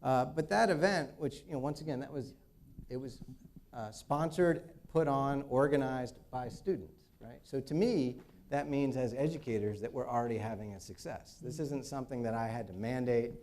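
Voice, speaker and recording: Male dean on a campus panel, mellow tone, halting delivery full of fillers. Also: medium pitch, slight background noise. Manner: halting, many "uh" fillers and restarts. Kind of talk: unscripted panel remarks.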